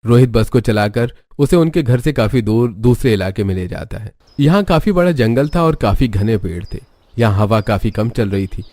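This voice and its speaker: A man's voice: fairly low pitch, expressive, moderate pace, mellow. A man reading scripted story narration for a cartoon tale.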